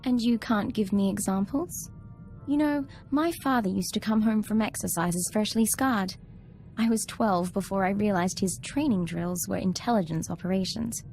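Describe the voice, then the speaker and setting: An actress playing an eager, respectful young military ensign in a video game, earnest and formal yet personable. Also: female voice, mid-range voice, normal speed, darkish tone, soft background music, close to an everyday voice. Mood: reflective, friendly. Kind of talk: video game cutscene acting.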